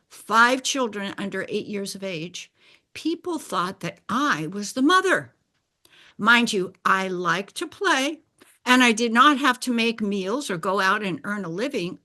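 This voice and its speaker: An older woman whose first language is Bulgarian, telling a personal story in a deliberate, explanatory way and clearing her throat now and then. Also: mid-range voice, bright, forceful tone. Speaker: speaker sharing a personal story. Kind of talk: slow scripted personal narration.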